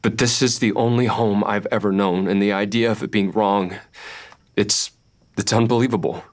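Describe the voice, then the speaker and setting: A man's voice: on the low side, fairly even intonation, normal speed, close to an everyday voice. A man playing a brave, guarded young thief hero, speaking earnestly and bluntly at a table read. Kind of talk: table read of a fairy-tale script.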